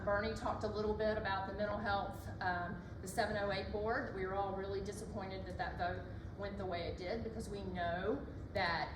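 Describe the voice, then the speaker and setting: A woman giving an earnest, encouraging public talk in a library meeting room, speaking loudly without a microphone. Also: medium pitch. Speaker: community speaker. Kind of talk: public address. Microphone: not using the microphone. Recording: echoey room from far off, noisy.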